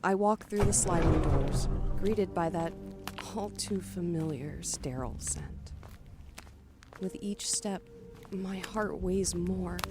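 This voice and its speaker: A woman playing an anxious, grieving daughter who narrates her own thriller in a somber, measured, introspective way. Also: on the low side, lightly acted. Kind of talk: dramatic first-person audio drama narration.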